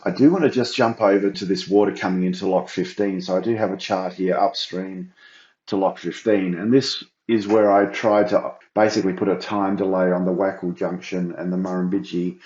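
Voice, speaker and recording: A middle-aged man with a croaky voice, walking viewers through a flood data report on his screen with a thinking-aloud, explanatory manner. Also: low voice, steady tone, normal speed, darkish tone, echoey room, dull audio. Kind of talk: screen-share walkthrough.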